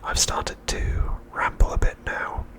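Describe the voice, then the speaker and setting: A man hosting ASMR in a breathy whisper, speaking in a gentle, soothing and reassuring manner. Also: measured pace. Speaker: ASMR channel host.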